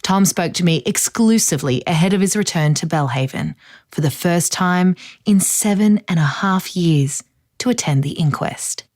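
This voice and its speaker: A woman playing an Australian true crime podcast host, narrating in a measured storytelling way. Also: on the low side, darkish tone, lightly acted. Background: music bed.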